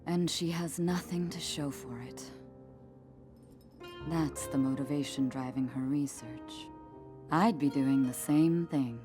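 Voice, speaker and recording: Deep-voiced, slow, commanding warrior chief in a game, soft music under her. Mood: earnest. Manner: low, measured and commanding. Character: proud adult Gerudo chief woman, warm mentor. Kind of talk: video game cutscene acting.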